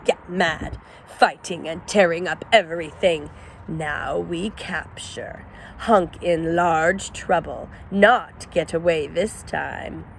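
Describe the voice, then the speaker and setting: A woman voicing a male coyote who speaks in broken, clipped sentences, slow and menacing. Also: fairly low pitch, creaky, a little hiss or hum, voice pushed down. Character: simple-spoken male coyote, gruff and menacing.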